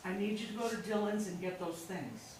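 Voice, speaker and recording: A woman's voice: mid-range voice, fairly quick, darkish tone, natural voice. A woman acting a harried wife, very echoey. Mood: insistent. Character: harried, nagging but hopeful older wife and mother. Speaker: actor in a staged reading. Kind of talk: live stage play reading. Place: indoor live staged reading. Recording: echoey room from far off, faint noise.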